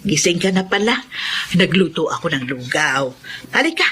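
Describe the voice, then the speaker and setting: A woman's voice: lowish voice, sing-song, moderate pace, fairly bright tone, slightly rough, heavily acted. An actress playing a kindly older village woman in a Filipino radio drama, fussing and exclaiming in a motherly way. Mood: caring, curious.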